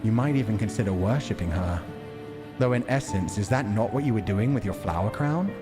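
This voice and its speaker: A man's voice: fairly low pitch, expressive, a little fast, music in the background. A young adult man streaming a visual novel, reading narration aloud and reacting with casual, sweary commentary. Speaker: game streamer.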